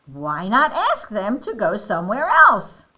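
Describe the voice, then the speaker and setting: Lady doing a calm helpful piggy from a kids story, slow and matter of fact, bright voice. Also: mid-range voice, exaggerated voice. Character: calm, helpful pig friend from a picture book.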